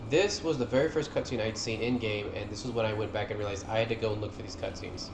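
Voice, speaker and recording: A man's voice: fairly low pitch, quick pace, gravelly. A man giving casual, enthusiastic fan commentary over game cutscenes in an informal way. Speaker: gaming video creator. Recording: roomy echo, music playing behind. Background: game cutscene music.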